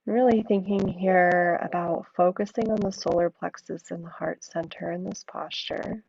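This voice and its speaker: A woman teaching a yin yoga class, hoarse and froggy from an allergy attack, giving slow, soothing cues. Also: on the low side, fairly even intonation, a little mellow, no room sound, dull audio. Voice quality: gravelly, froggy voice. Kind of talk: guided yoga instruction.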